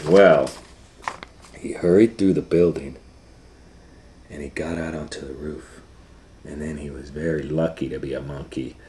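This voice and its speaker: A man reading a story aloud to young children in a dramatic, loosely retold way, moving between hushed asides and excited swells. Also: a bass voice, a little slow, long pauses. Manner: dramatic, hushing to near whispers and swelling with excitement. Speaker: storytime host and reader.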